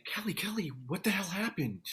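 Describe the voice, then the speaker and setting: A man's voice: high-pitched, lively intonation, brisk, fairly bright tone. Man acting a concerned, practical survivor. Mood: alarmed. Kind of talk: table read of a scripted audio drama.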